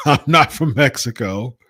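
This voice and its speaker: A man's voice: mid-range voice, expressive, slow, bright, forceful tone, no echo, clean studio sound. A man from the United States hosting a wrestling talk show, reading chat comments and repeating his points in an exasperated, emphatic way. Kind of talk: casual live-chat commentary.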